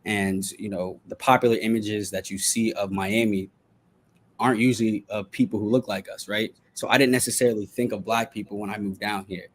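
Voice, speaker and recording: A man's voice: on the low side, fairly even intonation, brisk. An American man from Delaware giving earnest, explanatory interview answers in a conversational way, often checking in with "right?" and "you know". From Delaware, United States. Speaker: journalist and podcast guest.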